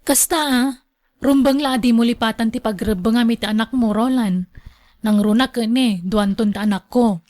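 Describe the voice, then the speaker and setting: A woman's voice: fairly high pitch, moderate pace, darkish tone, dry recording, lightly acted. A woman acting a headstrong, carefree young single mother in an Ilocano radio drama, defiant and dismissive. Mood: firm, curt.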